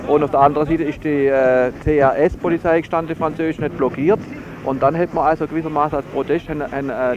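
A man speaking as a spokesman for an environmental group, interviewed outdoors on a bridge. He talks hesitantly, with many filler sounds. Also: fairly high pitch. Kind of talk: interview at a protest. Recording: dull field recording with loud traffic.

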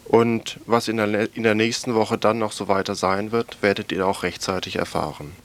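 Nachrichtensprecher mit eher tiefer Stimme. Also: male voice, lowish voice, little pitch movement, normal speed. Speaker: radio news presenter. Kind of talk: scripted political news commentary, read aloud.

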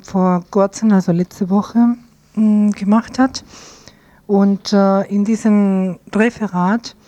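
A woman radio presenter announcing programme items in a hesitant, halting way, searching for words. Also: medium pitch, mellow, no echo. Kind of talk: loosely scripted programme announcement.

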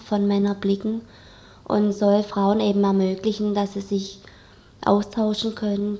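A woman's voice: mid-range voice, mellow. A young woman giving casual explanations as a guest in an interview. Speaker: interview guest, student activist.

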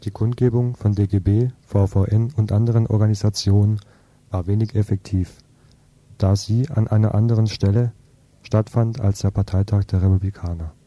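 A man's voice: fairly low pitch, warm tone. A man reading a scripted political commentary on the radio.

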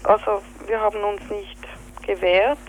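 A woman with a Swiss German accent, telling in an interview what happened, halting with fillers and searching for words. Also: mid-range voice, level tone, slight background noise, rough recording. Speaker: activist interviewee. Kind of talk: spoken account in an interview.

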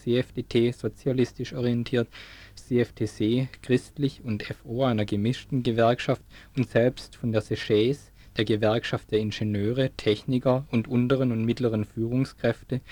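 A man's voice: medium pitch, steady tone, moderate pace, dark tone. A man reading a scripted radio news report as a reporter.

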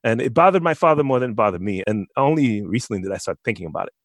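A man's voice: fairly low pitch, expressive, very fast, dry recording. A man telling a personal story conversationally as a panelist.

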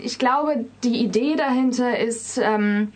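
A woman's voice: fairly high pitch, takes it slowly, a little mellow. A young woman from Germany chatting casually as a guest in a radio interview. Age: young adult. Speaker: interview guest, student musical performer. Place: radio studio.

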